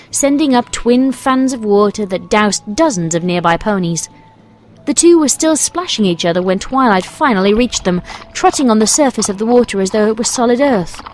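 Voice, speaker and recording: A woman reading scripted storybook narration as the narrator. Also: a little high.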